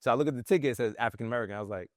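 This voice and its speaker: New host, casual, chatty, affirming, dry close mic. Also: male voice, medium pitch, racing, no room sound. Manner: lively anecdotes, frequent affirmations. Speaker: podcast host. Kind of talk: casual interview chat.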